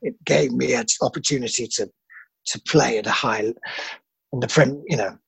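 Middle-aged actor, husky and gravelly, dry booth, reflective interviewee. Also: male voice, mid-range voice, dry recording, poor audio. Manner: self-deprecating, halting with restarts. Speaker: film actor.

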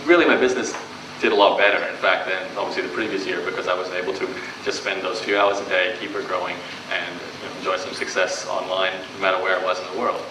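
A man's voice: deep voice, level tone, fast talker. A young man with a Canadian accent, giving a casual, conversational talk to a live audience at a business event, with many fillers and restarts. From Australia. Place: event room before an audience. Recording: distant, echoey live room recording with background noise.

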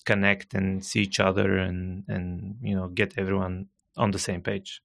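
Bulgarian man, low gravelly voice. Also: completely flat delivery, a bit bright. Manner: measured, hesitant with frequent uh fillers. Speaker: guest, marketing director. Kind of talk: casual podcast conversation.

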